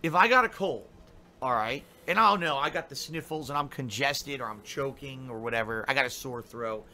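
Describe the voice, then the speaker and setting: Man, middle-aged, game streamer, bright voice, rambling, griping, casual live talk. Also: medium pitch, brisk, a little hiss or hum. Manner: rambling, complaining, many fillers.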